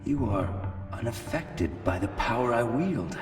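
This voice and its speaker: A man's voice: mid-range voice, expressive, unhurried, natural voice. A man playing a solemn, weary guardian of an ancient temple in a video game, speaking in a grave, formal, imposing way. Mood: solemn relief. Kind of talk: scripted video game cutscene acting. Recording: echoey hall, distant, music behind.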